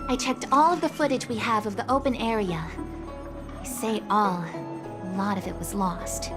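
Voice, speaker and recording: An actress voicing a careful woman technician who talks in a polite, measured and cautious way, in a video game dub. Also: mid-range voice, lightly acted. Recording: game cutscene with music behind.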